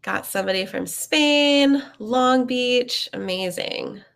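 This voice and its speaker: A woman's voice: fairly high pitch, takes it slowly, creaky, fairly bright tone. A young woman, a bit sniffly from a cold, hosting a live webinar in a chatty, upbeat way with many ums and uhs. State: sniffly, getting over a cold. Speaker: webinar host.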